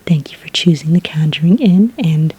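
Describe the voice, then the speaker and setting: A woman doing a soft-spoken, whispered ASMR roleplay as a friendly witch at a hotel front desk, gentle and polite in a breathy voice. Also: deep voice, animated, normal speed, a little hiss or hum. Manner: gentle, polite, customer-service phrasing.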